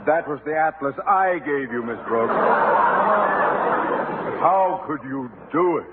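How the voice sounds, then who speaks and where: An actor playing a pompous, blustering middle-aged school boss in a 1950s radio comedy. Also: male voice, fairly high pitch, animated, takes it slowly, over a noisy crowd. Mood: blustering, defensive. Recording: tinny old radio broadcast with hiss.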